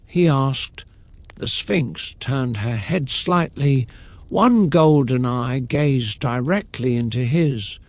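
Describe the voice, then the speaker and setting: Slow, expressive man telling a bedtime story. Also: mid-range voice, no room sound. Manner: calm, soothing, measured.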